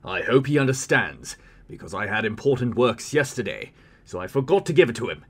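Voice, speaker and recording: A man playing a kind, regal king in an animated fairy tale, speaking in a formal, declarative way. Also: medium pitch, a little fast. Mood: flustered, commanding. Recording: music under the voice.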